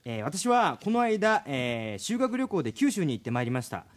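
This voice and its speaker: A man's voice: on the high side, big pitch swings, normal speed. A man co-hosting a casual radio show, reading listener mail and bantering with the others.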